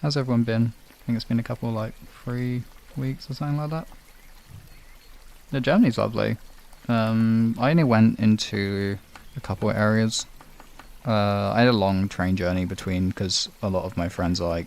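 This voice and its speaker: A man's voice: lowish voice, fairly even intonation, normal speed, darkish tone. A British man chatting casually on a livestream, replying to viewers in an informal, rambling, sweary way. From United Kingdom. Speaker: livestream host.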